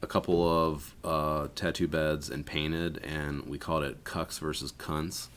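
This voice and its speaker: A man's voice: extremely low pitch, level tone, measured pace. A man hosting a casual podcast, talking loosely with lots of swearing and laughs, into a podcast mic. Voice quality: loud voice. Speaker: podcast host. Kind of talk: casual chat. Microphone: podcast microphone.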